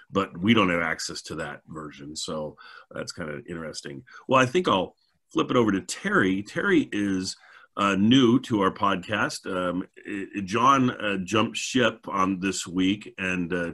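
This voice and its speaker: A middle-aged man hosting a podcast on a video call, chatting casually in a rambling, halting way. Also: low-pitched, a bit bright. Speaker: podcast host.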